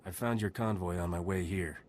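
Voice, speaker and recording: A man's voice: low voice, steady tone, fairly quick, a little mellow, slight background noise, lightly acted. An actor playing a composed, firm male hero in a space adventure video game, speaking directly. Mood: calm, grave. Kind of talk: dramatic video game dialogue.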